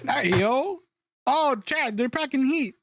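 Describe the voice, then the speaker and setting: A man's voice: very high-pitched, animated, slow pace, a bit bright, no echo, clean studio sound. A man streaming a reaction video, chatting casually and joking with his guest and viewers.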